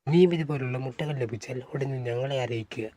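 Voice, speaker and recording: A man's voice: mid-range voice, fairly quick, distant mic, crowd noise, natural voice. A man playing an agreeable, cautious member of a cartoon expedition team. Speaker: voice actor in an animated adventure. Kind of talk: Malayalam cartoon dialogue.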